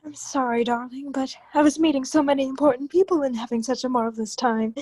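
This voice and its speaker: A woman's voice: high-pitched, fast talker, a little mellow, no room sound. A woman playing an anxious, secretive politician's wife in a radio melodrama, speaking hesitantly and breaking off her sentences. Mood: placating. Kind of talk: old-time radio drama acting.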